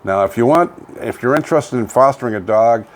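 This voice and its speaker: A man's voice: medium pitch, animated, fairly quick. An older man with a Canadian accent co-hosting a casual local news chat, sometimes reading items aloud, in a folksy, plain-spoken, rambling way. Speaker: co-host of a local online radio vlog. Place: home studio, indoors. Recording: clearly noisy home studio recording.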